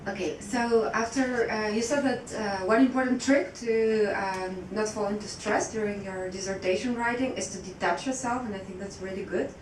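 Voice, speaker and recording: A woman's voice: normal speed, hardly any pauses. A woman putting an informal question from the audience, hesitantly and in a meandering way. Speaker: audience member asking a question. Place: echoey indoor conference room. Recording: echoey room, distant mic, faint rumbling noise.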